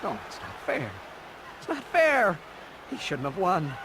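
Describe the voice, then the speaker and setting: A man's voice: sits high, wildly swooping pitch, a little slow, background noise, full character voice. An actor playing a sulky, fretful male sore loser in a video game, in a whiny delivery. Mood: whining, indignant. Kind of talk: video game cutscene acting.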